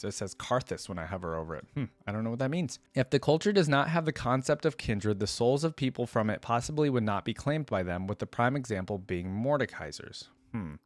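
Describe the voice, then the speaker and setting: A man's voice: medium pitch, no room sound. A man hosting a reaction video, reading lore aloud and commenting casually as he goes.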